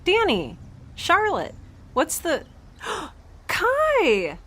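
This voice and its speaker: A woman playing an excitable, awkward, optimistic young space traveler in a science fiction audio drama, rambling in informal speech. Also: extremely high pitch, extremely slow, exaggerated voice. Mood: excited, awkward.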